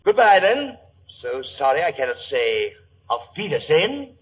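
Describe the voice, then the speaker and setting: An actor playing a suave, gloating saboteur, mock-polite and menacing, in old radio drama style. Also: male voice, fairly high pitch, big pitch swings, measured pace, slightly rough, full character voice. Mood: mock-polite menace. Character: suave gloating male German saboteur villain with a pistol. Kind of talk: 1940s radio drama acting. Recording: dull, muffled old radio broadcast.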